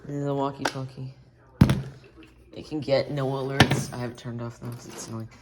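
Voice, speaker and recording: A woman's voice: a bass voice, little pitch movement, moderate pace, very noisy. A woman chatting casually and playfully in a rambling home vlog. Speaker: vlogger showing weather radios.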